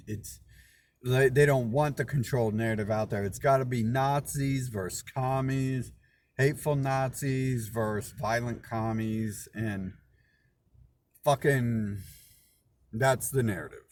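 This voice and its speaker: A man's voice: medium pitch, a little hiss or hum. Profane, rambling, indignant man. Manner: profane, repeats words and restarts sentences; indignant, suspicious. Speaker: channel host. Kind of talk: casual rambling monologue.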